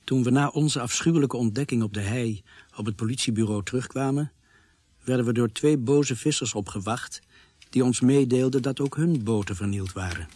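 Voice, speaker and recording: A man's voice: medium pitch, normal speed, a little mellow, no echo, natural voice. An actor playing an uneasy policeman who narrates his case in a scripted radio drama. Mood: sombre, uneasy.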